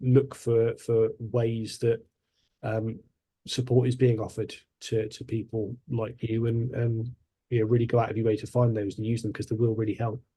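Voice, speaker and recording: A man's voice: mid-range voice, little pitch movement, moderate pace, dark tone, husky. A man on a panel, talking in a rambling way with many restarts and fillers. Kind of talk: panel discussion.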